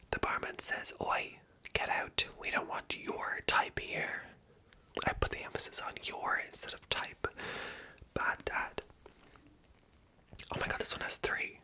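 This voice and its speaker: A woman whispering softly as she reads jokes aloud and adds wry, self-mocking asides, stumbling over words now and then. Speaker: ASMR creator. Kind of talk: whispered ASMR commentary.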